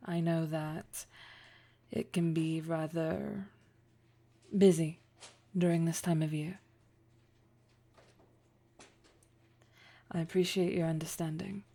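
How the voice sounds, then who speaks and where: A dark, mellow, low voice with a heavy creak belongs to a domineering , woman-acted female boss in an audio roleplay. She is poised and formal, taking her time over each phrase. There is a flirtatious, condescending tease in the way she addresses the listener. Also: steady tone, exaggerated voice. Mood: poised, welcoming. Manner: formal, measured, condescending, teasing, with deliberate pauses.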